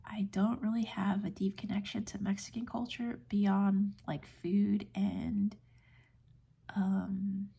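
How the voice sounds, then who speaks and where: Woman, late twenties, American accent. Hushed, whispery ASMR delivery with creaky fry and a relaxed tone, gentle and informal. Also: mid-range voice, slow. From United States. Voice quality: soft, whispery, breathy. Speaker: ASMR video creator. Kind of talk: soft-spoken ASMR rambling.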